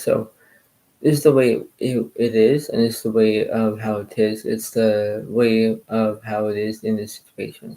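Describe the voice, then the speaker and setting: Soft-spoken hoarse man rambling. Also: fairly low pitch, low-quality recording. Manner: meandering, repeats filler phrases. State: a little hoarse. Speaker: channel host playing cards. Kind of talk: casual rambling talk to camera.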